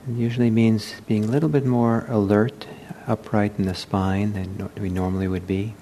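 A man leading a guided meditation as a teacher, speaking gently and unhurriedly with halting pauses. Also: flat delivery. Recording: low rumbling background noise.

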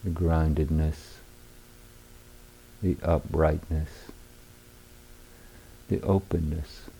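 A man leads a guided meditation in a low voice with a gravelly fry. He speaks very slowly, in short, measured phrases separated by long silences. His delivery is that of a teacher giving sparse instruction. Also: fairly even intonation, dark tone, slight background noise, poor audio. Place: meditation retreat hall.

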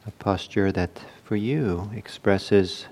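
Slow-paced man guiding a meditation. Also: on the low side, a little mellow. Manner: measured, with long pauses between short phrases. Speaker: meditation teacher. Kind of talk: guided meditation instruction.

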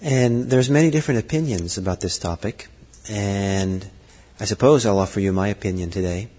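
Low husky man in a reverberant hall. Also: dark tone. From Norway. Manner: thoughtful, halting, many fillers and restarts. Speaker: Buddhist teacher. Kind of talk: dharma talk.

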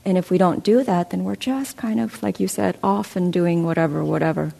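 A woman with a lowish, slightly gravelly voice guides a meditation class. She explains slowly and gently, pausing with soft fillers as she answers a student's question. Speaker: meditation teacher. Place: meditation center hall.

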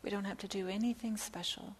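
A woman teaching guided meditation in a soft, breathy near-whisper, gently and with long pauses between phrases. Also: medium pitch, steady tone, rough recording. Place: meditation center. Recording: clear background hiss.

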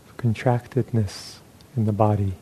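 A man leading a guided meditation as a teacher, speaking calmly and gently in measured phrases with pauses. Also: on the low side, a little hiss or hum, rough recording.

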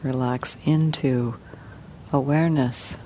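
A woman leads a guided meditation in a soft, dark, relaxed voice. She speaks slowly and gently, leaving long pauses between phrases. A faint low rumble sits behind her. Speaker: meditation teacher.

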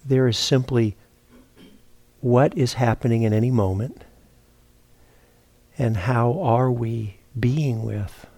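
A man leads a guided meditation at a very slow pace. His voice is mellow and relaxed, with some gravelly fry. He talks reflectively and haltingly, often pausing to find the next phrase. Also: mid-range voice, dry recording. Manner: halting, reflective, searching for words with repeats and pauses. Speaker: meditation teacher. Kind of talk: guided meditation talk, unscripted. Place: meditation retreat center, indoors.

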